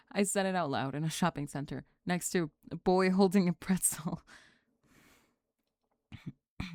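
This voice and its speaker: A woman voicing a sulky young goth bully who is flustered and secretly lovestruck, halting and sighing in an ASMR roleplay. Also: sits low, darkish tone, no room sound, studio quality, pitched below the performer's own voice. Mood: shy confessing. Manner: halting, sighing, grudgingly tender and defensive. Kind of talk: ASMR roleplay drama, spoken to the listener.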